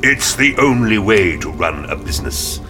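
Very deep, menacing business boss. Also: male voice, sing-song, music in the background. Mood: smugly satisfied. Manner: unhurried, condescending, mock-polite. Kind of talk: audio drama acting.

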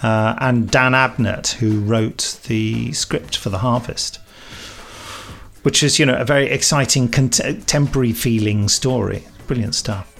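A man's voice: mid-range voice, a little slow, creaky, a bit bright. A middle-aged English man hosting a podcast in casual, jokey chat, with a playful, mock-dramatic manner. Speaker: podcast host.

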